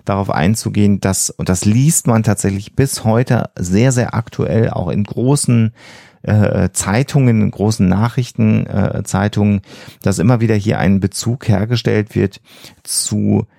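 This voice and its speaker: Low, creaky-voiced man explaining earnestly. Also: a little slow, mellow, dry recording, studio quality. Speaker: podcast co-host. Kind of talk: explanatory podcast discussion.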